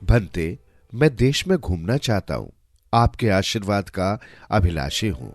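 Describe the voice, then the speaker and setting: A man as an earnest, forgiving disciple, animated delivery. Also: lowish voice, measured pace. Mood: earnest, accepting. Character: devout, unshakably forgiving young male disciple. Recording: music under the voice.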